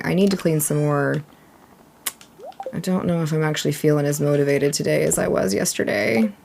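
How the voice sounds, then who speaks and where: A woman in her thirties from Texas chatting casually and relaxed while streaming a game, reading chat aloud. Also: lowish voice, vocal fry, slight background noise.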